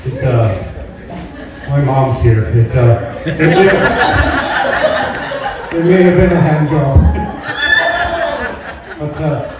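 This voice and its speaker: A man's voice: a little high, slow, pauses often, loud music behind the voice, a loud crowd. Echoey, rambling Canadian stand-up guy. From Alberta, Canada. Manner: rambling, folksy, checks in with "right?". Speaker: stand-up comedian. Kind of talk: stand-up comedy routine. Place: live comedy venue indoors. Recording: very echoey room, far from mic.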